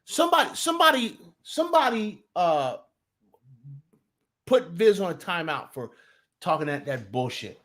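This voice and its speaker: A man's voice: sits high, sing-song, normal speed, pauses often, fairly bright tone. A young man from New Jersey with a Jersey accent, hosting a casual live sports talk stream. He talks loosely and profanely, restarting sentences and greeting his chat.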